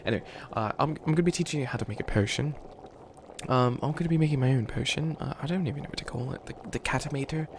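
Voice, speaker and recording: Man voicing a stammering, creaky young bunny witch. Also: medium pitch, fast, lightly acted. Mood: hesitant, instructive. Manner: stammering, hesitant, defensive, rambling. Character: flustered 853-year-old youthful bunny witch, harmlessly wannabe evil. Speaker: ASMR roleplay performer. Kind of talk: comedic ASMR roleplay. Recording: clearly noisy ambience behind voice.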